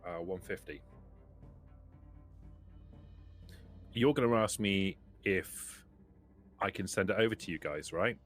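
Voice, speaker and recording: A man voicing a businesslike trader in a tabletop game, dry, knowing and unhurried. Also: sits low, faint music behind, lightly acted. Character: businesslike male trader on a radio call.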